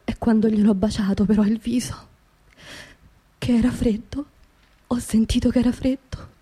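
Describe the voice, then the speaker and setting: Grieving Roman widow, higher-pitched voice. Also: female voice, steady tone, breathy edge. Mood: hushed grief. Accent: central Italian, Roman. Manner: intimate, halting, repeats phrases, swelling from hushed to anguished. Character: grieving working-class widow of a construction labourer, haunted, indignant. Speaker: actress performing a monologue. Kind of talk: radio theatre dramatic monologue.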